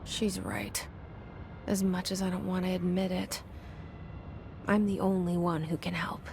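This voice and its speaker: An actress playing a young woman's wary, self-doubting inner thoughts in a video game, hushed and introspective. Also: on the low side, level tone, measured pace, vocal fry, noisy background. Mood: resigned, doubtful. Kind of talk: video game internal monologue.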